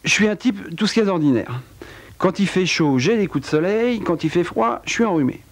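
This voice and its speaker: A man telling a dark true-crime tale in a wry, theatrical way, with a cold. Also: animated, fairly quick. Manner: wry, theatrical storytelling with big pitch swings. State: has a cold. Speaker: narrator. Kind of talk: dramatic spoken narration.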